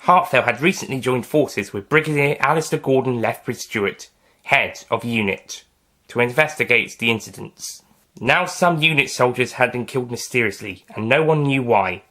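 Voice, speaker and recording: A man's voice: medium pitch, moderate pace, pushed and bright. A man reading scripted science fiction story narration as its narrator. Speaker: author and narrator.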